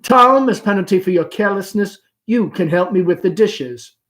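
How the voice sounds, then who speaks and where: A man's voice: sits high, expressive, moderate pace, a bit bright, voice pushed up. A man voicing a chatty, flirtatious middle-aged mother in a play, with a rhapsodic, effusive manner. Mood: gushing, playful. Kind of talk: play read-aloud.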